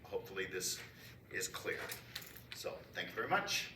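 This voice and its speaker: A man reading out a committee statement at a church council meeting, upbeat, with pauses between phrases. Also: deep voice, very animated. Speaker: committee member giving a report. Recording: echoey room, mic far off, faint noise.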